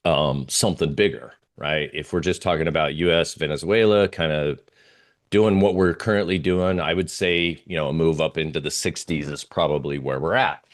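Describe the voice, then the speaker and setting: A man giving unscripted analysis as an interview guest, talking through slides in a halting, rambling way with pauses and repeated phrases. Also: rumbling low, fairly even intonation. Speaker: interview guest, geopolitical oil trading analyst.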